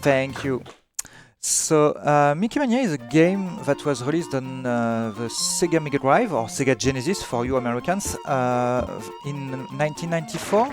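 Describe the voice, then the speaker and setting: A man's voice: medium pitch, animated, normal speed. French man commentating a speedrun. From France. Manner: hesitant, many fillers and restarts. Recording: game music playing behind.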